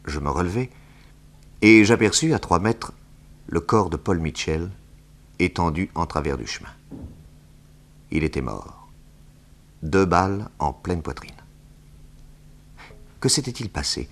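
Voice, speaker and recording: An actor playing a composed detective who narrates his adventure in measured, precise French, 1960s radio drama style. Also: deep voice, lively intonation, full character voice. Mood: grave, suspenseful.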